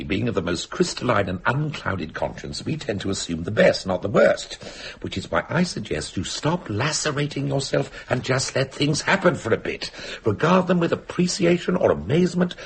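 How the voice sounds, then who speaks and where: A witty British male intellectual in a radio play, wry and self-mocking. His voice has a gravelly creak and swings widely in pitch as he spins out ornate, flippant sentences. Faint noise sits behind him. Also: very animated, fairly quick. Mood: wry, urbane. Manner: wry, wordy, theatrical. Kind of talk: radio drama acting.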